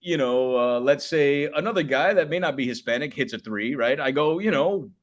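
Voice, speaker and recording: A man in his thirties, a public address announcer with a booming voice, chatting casually in an interview. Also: animated, moderate pace. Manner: booming voice, slips into announcer calls.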